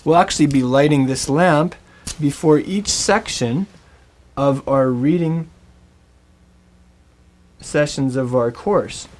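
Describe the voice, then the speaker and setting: A man teaching a Bible language lesson, speaking in a scripted, storytelling way with slow, deliberate phrasing and dramatic pauses. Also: a little high, a little mellow. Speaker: Biblical Hebrew teacher.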